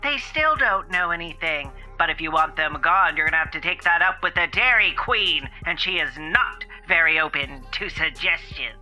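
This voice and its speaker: Someone playing a menacing, piercingly bright man on phone. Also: fairly high pitch, moderate pace, hardly any pauses. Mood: smug. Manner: calm, veiled threats. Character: menacing smug shadowy milk-industry ad man on phone. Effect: phone-call recording filter. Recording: harsh bright phone recording, music behind.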